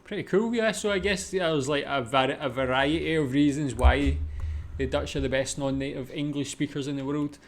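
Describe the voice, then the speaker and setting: A man's voice: fairly high pitch, normal speed, a little hiss or hum. A man with a Scottish accent, hosting a reaction video and musing aloud in a chatty way, with frequent fillers. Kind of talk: casual commentary to camera.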